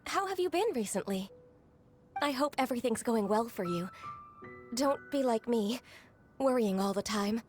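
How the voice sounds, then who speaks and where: Woman acting a high, gentle, anxious young woman. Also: animated, moderate pace, long pauses, faint music behind, natural voice. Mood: polite, flustered. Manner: polite, hesitant, fretful. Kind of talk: video game voice acting.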